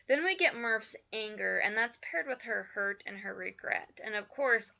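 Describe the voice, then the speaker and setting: A woman teaching writing craft in a casual spoken lesson, chatty with lots of fillers, her voice a little croaky from just waking up. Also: on the high side, bright, forceful tone, dull audio. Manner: chatty, many fillers like "like" and "you know".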